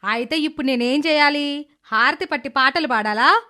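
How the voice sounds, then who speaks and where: A cruel middle-aged stepmother from a cartoon moral tale, played by a woman, speaks in a high voice. She scolds with scorn and sarcasm, sounding resentful and calculating. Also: moderate pace, bright, forceful tone. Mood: angry, defiant. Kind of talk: animated moral story character dialogue.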